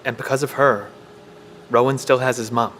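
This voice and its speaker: An actor playing an earnest, protective, technically minded survivor in an audio drama. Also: male voice, mid-range voice, flat delivery, normal speed, fairly bright tone, lightly acted. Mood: earnest, resolute. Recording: faint background noise.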